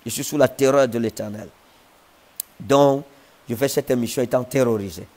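Brief: thirties male preacher, fervent slow prayer and repetitive emphatic sermon, earphone mic, home living room. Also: medium pitch, dark tone. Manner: fervent, slow incantatory praying in tongues. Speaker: prophet. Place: living room with a sofa, indoors. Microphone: wired earphone mic.

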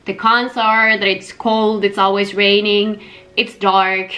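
Vlog host, female, twenties, Finnish accent, mid-pitched, chatty Q&A delivery with light music underneath. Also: level tone, takes it slowly, pushed and bright. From Helsinki, Finland. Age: 20s. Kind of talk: casual Q&A chat. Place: small home kitchen, indoors.

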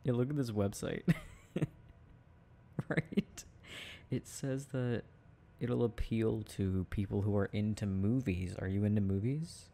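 A young man doing an intimate, casual boyfriend roleplay, playful and affectionate, talking in a halting, giggly way. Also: fairly low pitch, gravelly, dark tone. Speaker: boyfriend roleplay audio performer.

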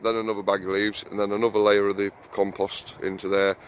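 Rambling low-voiced man vlogging his allotment. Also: little pitch movement, fast, slight background noise. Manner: rambling, chatty, many fillers, keeps calling viewers guys. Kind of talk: casual walk-and-talk vlog. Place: outdoor allotment, then small garden shed.

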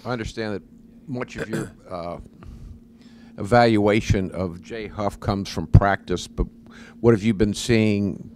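Man, sports reporter, low voice, plain matter-of-fact question, distant from mic, faint room noise. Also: steady tone, unhurried, darkish tone. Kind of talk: press conference question.

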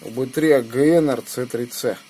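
A man with a slightly husky voice, chatting casually in slangy speech and giving call signs as an amateur radio operator, recorded indoors on a phone. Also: mid-range voice, moderate pace, mellow. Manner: colloquial, slangy. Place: small room indoors beside radio equipment. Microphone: phone held near the radio.

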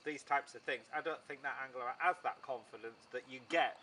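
A man's voice: mid-range voice, a little fast, bright, forceful tone. A man in his thirties chatting casually as a fishing presenter, agreeing readily and prompting with short questions. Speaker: presenter interviewing a fellow angler. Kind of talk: casual outdoor fishing chat. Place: outdoors on a pebbly shore. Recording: faintly noisy outdoor recording.

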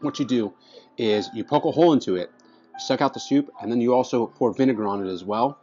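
A man's voice: medium pitch, brisk, warm tone. A man in his thirties reviewing food to camera at a restaurant table, eager and chatty, often restarting his phrases between bites. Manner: enthusiastic, restarts phrases while tasting. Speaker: food vlogger. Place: indoor restaurant dining room. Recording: slightly dull sound, faint music behind.

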